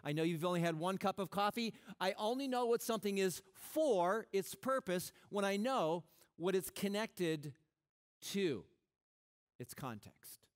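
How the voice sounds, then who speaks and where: A middle-aged man preaching a sermon on a church stage, animated and conversational, often restarting his sentences. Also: high voice, a little slow. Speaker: pastor.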